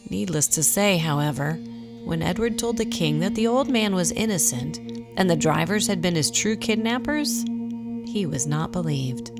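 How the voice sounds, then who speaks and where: A woman reading scripted story narration for a radio drama. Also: on the low side. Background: music.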